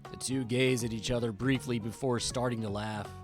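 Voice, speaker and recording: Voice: mid-range voice, fairly even intonation, moderate pace. A streamer playing a visual novel, chatting casually and reading game text aloud in a playful, joking way, often repeating phrases. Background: game music.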